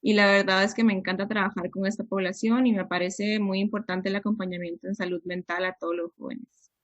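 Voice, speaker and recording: Woman, young adult, podcast guest, calm explanatory tone. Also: mid-range voice, a little fast, muffled recording. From Peru, raised in Costa Rica. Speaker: psychologist guest. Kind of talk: podcast interview.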